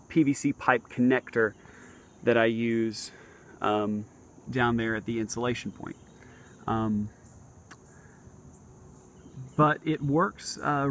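Male hobbyist vlogger, mid-pitched, hesitant and unassuming, explaining informally into a handheld camera outside. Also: a bit bright, a little hiss or hum. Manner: hesitant, halting, modest. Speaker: amateur radio hobbyist showing his antenna. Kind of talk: casual unscripted explanation to viewers. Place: outdoors on a house roof among trees.

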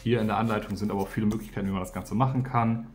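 A man's voice: on the low side, steady tone, racing, darkish tone, slight background noise. A man giving a casual, unscripted product walkthrough, informally thinking aloud as he goes. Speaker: product reviewer and teacher.

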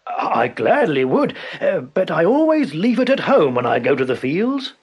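A man voicing a clever peasant farmer who flatters with eager, over-polite courtesy, pitched higher and brighter. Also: moderate pace, slightly rough. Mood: crafty polite.

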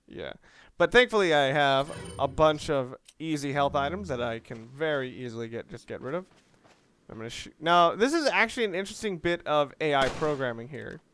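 A man's voice: on the high side, fairly bright tone, clean tone. A man giving casual, rambling video game commentary, explaining his strategy as he plays and swearing mildly. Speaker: gamer, let's play host. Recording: noisy, game ambience behind voice. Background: video game sounds.

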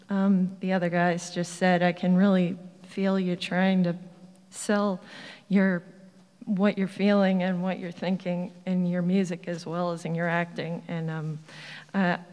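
A woman's voice: on the low side, little pitch movement, measured pace, darkish tone. A woman speaking casually as an audience member, admiring and a little hesitant as she works toward a question. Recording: echoey convention hall sound.

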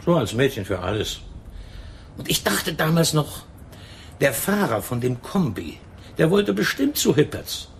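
A man playing a chatty, gossipy tobacco shopkeeper in a German radio crime drama, talking in an emphatic, drawn-out way. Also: fairly high pitch, sing-song, a little hiss or hum. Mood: confiding. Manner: talkative, emphatic, drawn-out storytelling. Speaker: tobacco shop owner in a radio play.

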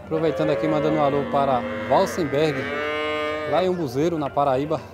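A man vlogging his walk through an outdoor livestock market, greeting sellers in a brisk, friendly, chatty way. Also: fairly high pitch, fairly even intonation. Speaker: vlogger touring a cattle market. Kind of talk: casual on-the-spot vlog, asking sellers prices. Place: outdoor livestock fair pens. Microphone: handheld phone camera. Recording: outdoor market with loud crowd and cattle.

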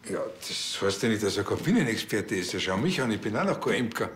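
A man's voice: on the low side, very animated, fast talker, a bit bright, a little hiss or hum. An actor playing a cocky, stubborn village policeman with a Bavarian accent, talking in a colloquial, matter-of-fact way. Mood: earnest, self-assured. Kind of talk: naturalistic comedy-crime dialogue.

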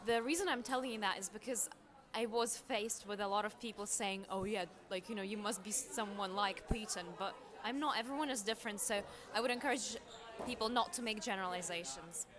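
A woman's voice: medium pitch, a little fast, a crowd in the background. Earnest young woman from Russia. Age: young adult. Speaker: interviewee. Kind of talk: casual speech to camera. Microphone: earbud headset mic.

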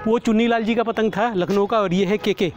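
A man's voice: sits high, steady tone, a little fast. A middle-aged man giving casual, explanatory vlog commentary to his viewers, talking to them like friends. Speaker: kite flyer presenting his vlog. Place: outdoors on an open rooftop. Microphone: clip-on lapel microphone. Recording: outdoor urban ambience, traffic noise. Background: faint outdoor city and vehicle noise.

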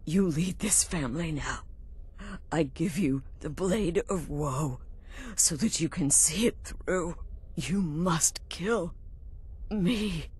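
Dying assassin boss lady from a game, super raspy breathy, slow n halting, full of remorse. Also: low-pitched, darkish tone, full character voice. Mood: solemn resignation. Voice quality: husky, breathy, broken. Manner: halting, strained, confessional. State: weak, gasping, in pain. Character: dying repentant female leader of an assassin family. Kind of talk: dramatic video game acting.

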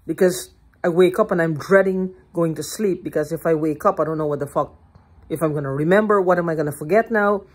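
Older woman, husky breathy voice, hoarse, agitated profane monologue, phone at arm's length indoors. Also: lowish voice, brisk. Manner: heated, profane, repetitive, restarting words. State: hoarse throat. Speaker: vlogger. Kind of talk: agitated rambling monologue to camera. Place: living room at home, indoors. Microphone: phone held at arm's length.

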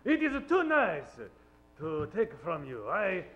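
A man's voice: sits high, huge pitch swings, takes it slowly, a bit bright, highs cut off, soft background music, exaggerated voice. A man playing a courtly, pompous detective general in old-time radio comedy, speaking formal, stilted broken English. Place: theatre stage. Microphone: vintage-style stand microphone.

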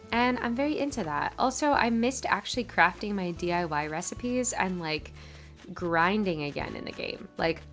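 A woman with a stuffy, congested nose, chatting casually over her gameplay as a channel host. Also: medium pitch. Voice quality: slightly nasal. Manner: chatty, upbeat, rambling.

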